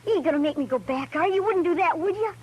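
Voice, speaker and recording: A woman's voice: very high-pitched, lively intonation, very fast, darkish tone. A woman voicing an eager, brash young runaway boy with a rural American accent in a radio Western, on a noisy old recording. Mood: defiant, wheedling. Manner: brash, folksy. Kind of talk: Western radio drama acting.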